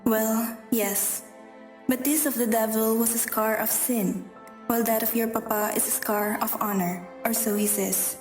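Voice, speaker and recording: A woman's voice: fairly high pitch, level tone, moderate pace, a little mellow, close to an everyday voice. A woman playing a proud, haughty woman in an amateur radio drama, with a theatrical, emphatic delivery. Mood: wistful storytelling. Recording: distant, echoey room, faint music.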